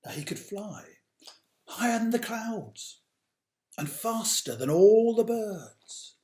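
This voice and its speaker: A man with a fairly high voice tells a children's story. He is unhurried and very animated, leaning on key words and dropping into hushed asides. The room has a clear echo. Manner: dramatic, drawn-out emphasis with hushed asides to young listeners. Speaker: author reading aloud own picture book. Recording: roomy, echoey sound.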